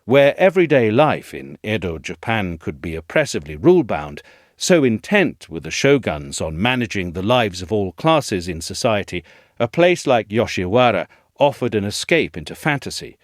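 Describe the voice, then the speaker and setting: A man reading a scripted audio essay in a measured, articulate storytelling manner. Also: lowish voice, sing-song, no echo, studio quality. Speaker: essay narrator, reader.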